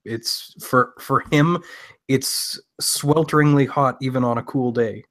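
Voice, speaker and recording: A man's voice: mid-range voice, fairly even intonation, measured pace, a bit bright. Rambling man in casual chat. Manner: rambling, circles back with restarts. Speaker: panel participant. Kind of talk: casual group call chat.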